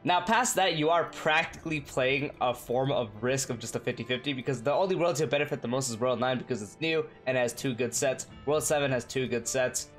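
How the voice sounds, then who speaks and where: A man's voice: mid-range voice, soft background music. A man with a cold, sounding nasal, giving a casual game guide voice-over. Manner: fast, energetic, chatty. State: sick, nasal.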